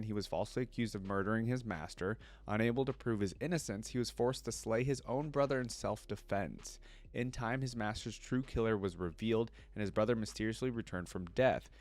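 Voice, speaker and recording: A man hosting a reaction video, mixing casual commentary with reading aloud and informal asides. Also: fairly low pitch.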